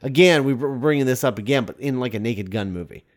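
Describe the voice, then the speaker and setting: Male podcast host, mid-pitched voice, casual film chat, halting and hesitant phrasing.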